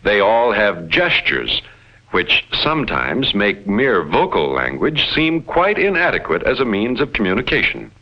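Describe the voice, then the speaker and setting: A man playing a detached alien explorer, narrating in a formal, measured 1950s educational film style with precise enunciation. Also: lowish voice. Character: detached alien explorer reporting on Earth's inhabitants. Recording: dull vintage 1950s film soundtrack with music.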